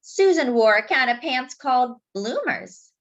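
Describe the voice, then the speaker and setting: A woman reads a picture book aloud expressively, explaining things simply and deliberately as if to young children. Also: high-pitched, animated, dull audio. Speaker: children's storybook reader.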